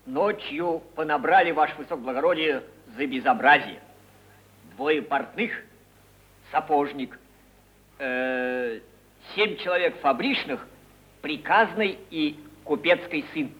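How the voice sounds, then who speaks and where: Dutiful, fairly high-voiced policeman. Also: slow pace, long pauses. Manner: clipped, dutiful. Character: obedient low-ranking policeman reporting to his chief. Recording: dull old archive recording.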